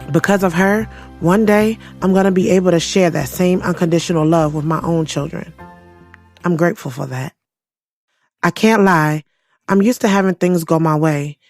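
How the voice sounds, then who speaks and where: A woman's voice: on the low side, a little mellow, natural voice. A woman playing a sassy young writer, telling her life story frankly and conversationally in an audio drama, with some cursing. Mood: fond, confiding. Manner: frank, profane, confiding.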